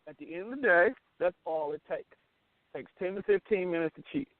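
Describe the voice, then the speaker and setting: A man's voice: high voice, animated, a little fast, no echo. An American man, middle-aged, talking casually and candidly as a radio show host, blunt and emphatic, often repeating his phrases. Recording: tinny, phone-like band-limited audio.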